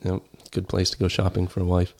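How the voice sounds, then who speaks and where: A man from Kenya talks as a guest in a conversational interview. His voice sits fairly low, and he explains things earnestly, repeating phrases to press a point. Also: monotone, fast, a crowd in the background. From grew up in Kenya.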